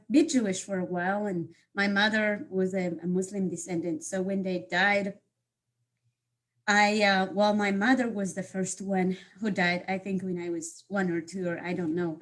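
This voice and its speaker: A woman, telling her life story as a guest speaker on a video call, pausing often with fillers and restarts. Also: medium pitch. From Morocco. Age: 30s. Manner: halting, many fillers and restarts. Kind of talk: personal life story talk.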